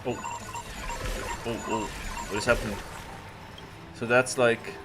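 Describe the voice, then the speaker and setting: A man's voice: mid-range voice, steady tone, slow pace. A man giving casual game reaction commentary, informal and slangy, thinking aloud as he goes. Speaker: gaming streamer. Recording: loud music behind. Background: loud game music.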